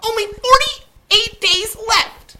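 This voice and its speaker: A man voicing a fussy, excitable little elf official for a children's storybook, with a hurried, officious manner. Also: very high-pitched, background noise, voice pushed up. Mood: hurried, urgent. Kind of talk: children's storybook read-aloud.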